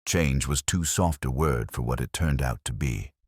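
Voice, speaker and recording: A man narrating a detective story as a melancholy, precise older police inspector, in measured, solemn, literary phrasing. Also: a bass voice, slightly rough, dry recording, clean studio sound. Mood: brooding, reflective.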